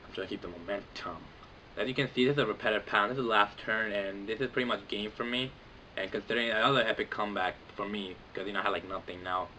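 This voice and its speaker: A man's voice: fairly even intonation, fast, bright, forceful tone, slight background noise, rough recording. A young man casually commentating his own video game battle, rambling with lots of fillers. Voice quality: says he has a deep voice. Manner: rambling, filler-heavy, lots of "like" and "you know".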